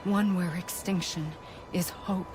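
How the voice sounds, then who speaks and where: Doomed, otherworldly woman for game drama: deep-set female voice, unhurried, hushed, sorrowful and solemn, over music. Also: fairly even intonation, rough recording. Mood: solemn resignation. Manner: solemn, hushed, slow and deliberate. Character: sorrowful otherworldly woman fated to end humanity. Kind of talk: dramatic video game cutscene monologue. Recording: music under the voice.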